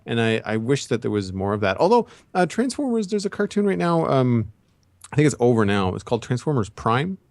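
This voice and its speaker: A man's voice: mid-range voice, sing-song, brisk, no room sound. A man co-hosting a casual pop-culture chat, talking in a rambling, opinionated way. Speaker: podcast co-host.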